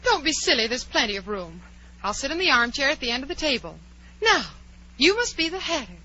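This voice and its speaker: A woman's voice: sits high, sing-song, a little fast, pushed and bright, full character voice. An actress playing a curious, prim, outspoken little girl in a 1940s radio drama. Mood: prim, indignant. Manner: proper, precise, indignant. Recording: dull, noisy old radio recording, hum.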